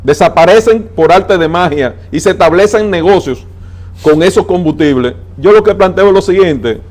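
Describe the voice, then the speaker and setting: A man with a Dominican accent, a transport adviser on a TV interview, laying out plans with numbers and slowing down to stress his points. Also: high-pitched, darkish tone. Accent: Dominican Spanish. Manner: emphatic, explains with figures, slows down to stress points. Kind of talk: interview on a TV talk show.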